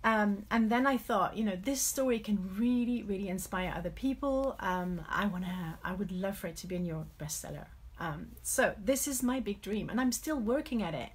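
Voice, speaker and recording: A middle-aged French woman speaking English with a French accent, giving a candid, reflective motivational talk with personal stories, often correcting herself, in a husky voice. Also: medium pitch, expressive, moderate pace, few pauses. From France. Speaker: motivational coach hosting a live stream.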